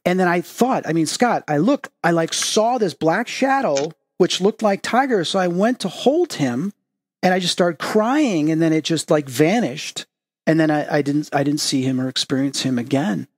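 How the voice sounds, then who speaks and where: A man's voice: fairly high pitch, lively intonation, normal speed, no room sound. A man hosting a spiritual podcast, talking in an earnest, reflective way and telling personal stories. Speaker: podcast host. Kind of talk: casual spiritual podcast chat.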